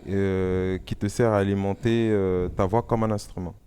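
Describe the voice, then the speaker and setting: A man's voice: sits low, fairly even intonation, moderate pace, warm tone, a little hiss or hum. A man speaking as a guest in a casual discussion. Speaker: panel guest, music maker.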